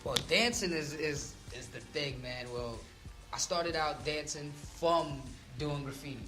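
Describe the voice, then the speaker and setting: A man's voice: fairly high pitch, measured pace, pushed and bright, roomy sound, soft background music. A man who grew up in Trinidad, chatting casually as a guest artist in an interview and filling his talk with "you know" and "um". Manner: laid-back, with many fillers.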